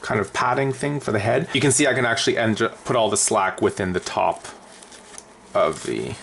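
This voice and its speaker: A man's voice: lowish voice, moderate pace, gravelly, a bit bright, a little hiss or hum. A man talking casually and unscripted through a hands-on how-to as an aviation vlogger.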